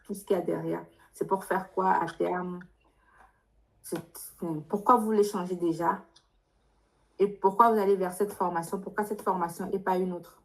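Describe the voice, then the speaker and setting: A woman with a fairly low voice works as an adviser in a live question-and-answer workshop. She speaks spontaneously in a directive, insistent way and often puts probing questions. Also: low-quality recording. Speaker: adviser leading a workshop for international students.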